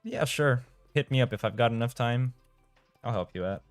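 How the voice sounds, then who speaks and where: A man's voice: mid-range voice, moderate pace, dry recording, faint music behind. A young man from Romania with a Romanian accent, chatting casually with his live-stream audience while playing and tripping over his words now and then. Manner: chatty, reads chat aloud, trips over his words. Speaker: game streamer.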